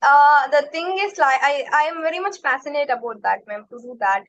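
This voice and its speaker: A woman's voice: sits high, expressive, fairly quick, fairly bright tone, dull audio. A young woman with a Tamil accent, a Tamil native speaker, answering interview questions politely and hesitantly, often saying ma'am. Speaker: English learner and YouTuber, interviewee. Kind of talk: conversational interview.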